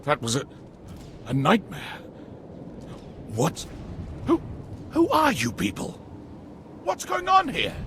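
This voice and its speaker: A frightened, dazed man in a sci-fi game, freed from possession. His high voice swings wildly in pitch as he haltingly gropes for words. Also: wildly swooping pitch, fairly bright tone, a little hiss or hum, exaggerated voice. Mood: panicked confusion. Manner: halting, confused. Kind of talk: video game acting.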